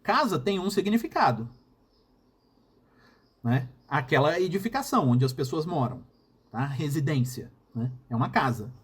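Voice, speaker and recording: A man with a Brazilian Portuguese accent and a husky voice, giving a recorded university lecture and often checking in with the class. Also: fairly high pitch, big pitch swings, moderate pace, long pauses, fairly bright tone. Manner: explanatory, frequent checking "tá?" and "né?".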